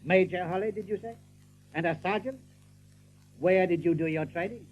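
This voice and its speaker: A commanding male rabbit general , acted by a man, holds forth in an audiobook drama. The voice sits on the high side, measured and formal with cool menace. A faint hiss and hum lie under it. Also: highs cut off, lightly acted. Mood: probing, stern.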